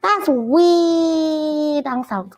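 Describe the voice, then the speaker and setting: A woman's voice: high-pitched, very slow, a little mellow. A woman voicing Priya, an eager, caring Trinidadian schoolgirl in a puppet show, who talks earnestly and gasps at news. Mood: chatty. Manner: eager, gasping, earnest explaining.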